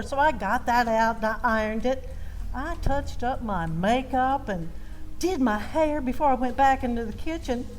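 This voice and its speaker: Southern lady doing a folksy comic story, pretty high voice, music playing behind. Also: rough recording. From Georgia, United States. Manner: folksy, theatrical delivery. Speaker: storytelling contestant. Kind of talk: comic first-person storytelling on stage.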